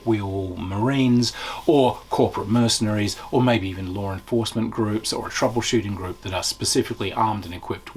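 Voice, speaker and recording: A man reviewing a tabletop game in a casual, unscripted way, animated and chatty as he explains. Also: fairly low pitch, breathy edge.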